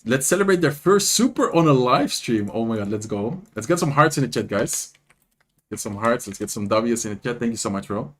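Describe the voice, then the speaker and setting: A man's voice: mid-range voice, brisk. A young man with a Dutch accent, chatting casually on a live stream, reading and answering viewers while commenting on matches. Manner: chatty, reads and answers chat, animated. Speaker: streamer and content creator.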